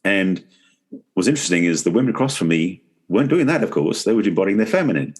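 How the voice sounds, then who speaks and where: A man's voice: low voice, big pitch swings, quick pace, husky. A man speaking as a podcast guest in a conversational interview, explaining things earnestly. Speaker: podcast guest, relationship expert and author.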